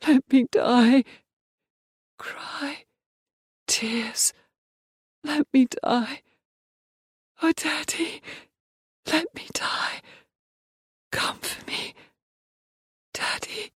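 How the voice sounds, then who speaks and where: A woman performing a broken, tormented young woman in an audiobook, speaking in halting one-word fragments that drift between whispers and cries. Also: sits high, no echo, studio quality. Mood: pleading. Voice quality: breathy. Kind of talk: audiobook inner-monologue performance.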